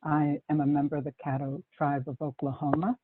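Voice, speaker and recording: A woman's voice: low-pitched, little pitch movement, fairly quick. A woman with a Boston accent, speaking earnestly as a panelist in a webinar, often restarting her sentences. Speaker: panelist, Native community organizer. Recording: tinny phone-like line, faint hiss.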